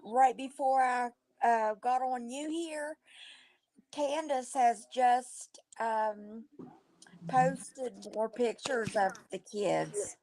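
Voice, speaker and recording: A hoarse woman, a guest on a livestream, talking in a halting way with restarts. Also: on the high side, a little mellow, low-quality recording. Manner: slow, halting, with fillers and restarts. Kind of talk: casual chat.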